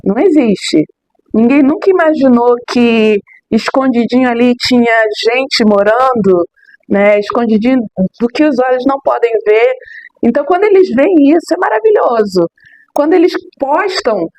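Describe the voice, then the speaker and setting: A middle-aged woman from Rio de Janeiro, a local tour guide answering interview questions in an emphatic, expressive way with many tag questions. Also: fairly high pitch, no echo, poor audio. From Rio de Janeiro, Brazil.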